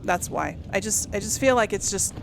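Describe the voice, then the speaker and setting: A woman's voice: medium pitch, animated, moderate pace, vocal fry, background noise. A woman hosting a casual gaming let's play, thinking aloud in a hesitant, conversational way. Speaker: gaming video host.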